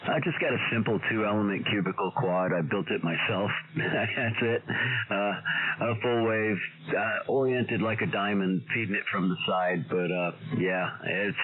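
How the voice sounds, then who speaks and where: Low-voiced American man on a tinny radio. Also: steady tone, normal speed, a little hiss or hum. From USA. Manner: enthusiastic, laughs between phrases. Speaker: radio operator. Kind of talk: casual radio chat. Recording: tinny radio transmission with loud chatter.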